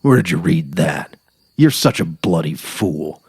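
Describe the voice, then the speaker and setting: A man's voice: low voice, animated, measured pace, gravelly, darkish tone, in a large hall, voice pushed down, exaggerated voice. A man playing a weary, sardonic dying writer on safari in a dramatic literary reading. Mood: irritable. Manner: sardonic, resigned, quarrelsome.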